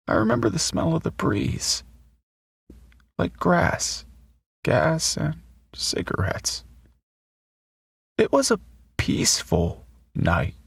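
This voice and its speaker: A man voicing a shaken, numb student survivor who gives a halting testimony in a raspy voice, like an audio drama monologue. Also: medium pitch, dry recording, exaggerated voice. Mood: numb recollection. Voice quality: raspy, breathy. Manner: halting, numb, throat-clearing.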